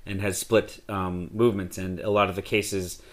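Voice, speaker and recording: A man's voice: deep voice, little pitch movement, moderate pace. A man interviewing, asking long rambling questions with many fillers and restarts.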